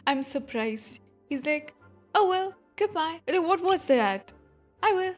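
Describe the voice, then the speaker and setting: A woman's voice: very high-pitched, animated, takes it slowly. A playful, slangy woman giving casual reaction commentary as she streams a game, with music underneath.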